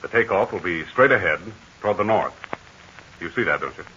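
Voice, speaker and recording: An actor playing a brave, steady adult aviator hero in 1940s radio acting, calm and reassuring in manner. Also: male voice, deep voice, fairly quick. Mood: encouraging. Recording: hissy, muffled 1940s radio transcription.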